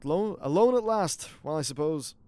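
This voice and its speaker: Male gaming host, slightly high voice, casual play-by-play, wry and free with swearing. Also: lively intonation, moderate pace, a little mellow. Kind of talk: casual game commentary.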